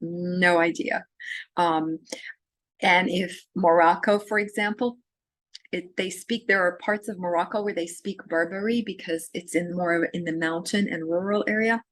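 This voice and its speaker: A woman from Syria giving a recorded course lecture, talking in a halting way with many fillers and restarts. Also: fairly low pitch, steady tone, fairly bright tone, no echo. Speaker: literature lecturer.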